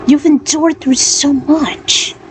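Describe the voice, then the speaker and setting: A woman's voice: high voice, steady tone, takes it slowly, voice pushed up. A woman voicing a tender, devoted male warrior in a story, earnestly consoling his beloved. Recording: clearly noisy background.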